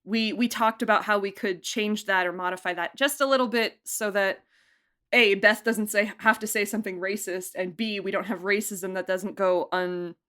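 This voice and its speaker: Woman, medium pitch, casual interview talk, informal, animated, emphatic delivery. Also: moderate pace, smooth. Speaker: podcast producer and director being interviewed.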